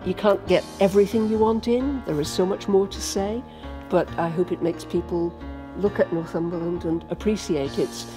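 A woman's voice: lowish voice, fairly even intonation, normal speed, music in the background. An older woman, a writer, talking in a conversational storytelling way in a panel discussion. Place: book-lined library room, seated. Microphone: microphone on a stand.

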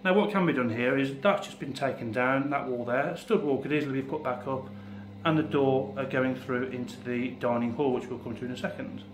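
A man in his forties, an estate agent, walking through a house and pointing out rooms in a steady, informative way. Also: medium pitch, fairly quick. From England. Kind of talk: property walkthrough tour.

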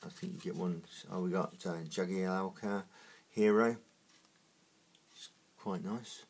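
A man with a croaky morning voice, talking through opening trading card packs in a slow, halting way with many fillers. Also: low-pitched, fairly even intonation, darkish tone. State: croaky, just woken up. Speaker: trading card unboxing host. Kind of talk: casual unboxing commentary.

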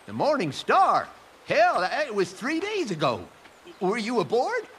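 A man's voice: extremely high pitch, wildly swooping pitch, a little slow, fairly bright tone, a little hiss or hum, full character voice. A man playing a wily, folksy American horse trader and swindler in a western video game. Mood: folksy, sly. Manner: folksy, chatty, drawn to exclamations.

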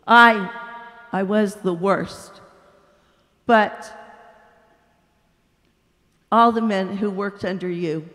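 A woman voicing a humble male spirit, speaking calmly and earnestly. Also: mid-range voice. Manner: calm, earnest, slow. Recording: echoey hall.

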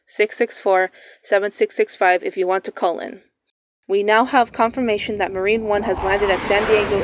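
A woman playing a radio newscaster, reading breaking news in a steady, formal manner. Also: medium pitch, a little hiss or hum, natural voice. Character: composed female radio newscaster. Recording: dull lo-fi sound.

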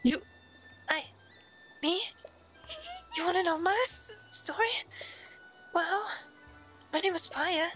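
A man voicing a shy, earnest young village woman from a video game in a polite falsetto. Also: takes it slowly, pauses often, bright, forceful tone, soft background music, full character voice. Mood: flustered, shy. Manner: polite, hesitant, stammering when flustered.

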